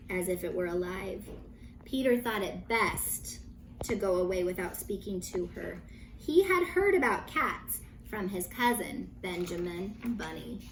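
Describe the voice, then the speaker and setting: A woman teacher reading a children's story aloud with animated emphasis, dramatic pauses and brief asides to her young listeners. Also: medium pitch, a little hiss or hum, low-quality recording.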